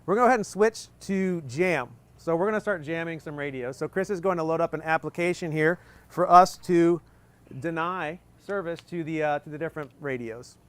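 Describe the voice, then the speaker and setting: A man's voice: a little high, fairly quick, clear, resonant tone. A man in his thirties presenting a live equipment demonstration outdoors, explaining step by step in a casual, joking way with plenty of fillers. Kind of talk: live technical demo talk. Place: outdoor tent at a desert field demo.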